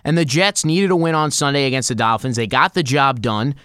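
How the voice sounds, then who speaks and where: An American man co-hosts sports talk in a smooth, clean voice of medium pitch. The recording is very dry, with little room sound. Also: fairly quick, a bit bright, no room sound. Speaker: sports podcast co-host.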